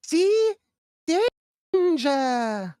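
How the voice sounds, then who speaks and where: A woman's voice: sits high, big pitch swings, very slow, dark tone, dry recording, studio quality, exaggerated voice. A woman playing a dotty, bossy elderly former actress in a screenplay table read, theatrical and scolding. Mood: ominous.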